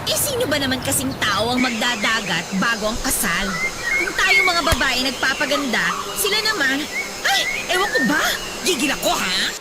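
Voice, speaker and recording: An actress playing a bride's sassy, exasperated friend in a Filipino radio drama, expressive and teasing, with a Filipino accent. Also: female voice, high voice, moderate pace. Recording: loud music bed under the voice.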